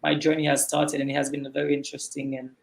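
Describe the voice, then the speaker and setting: A man's voice: on the high side, monotone, fast talker, vocal fry, slight background noise. A man in his thirties from Haiti with a Haitian accent, chatting casually as a guest and often repeating words as he starts a thought. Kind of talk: casual video-call interview.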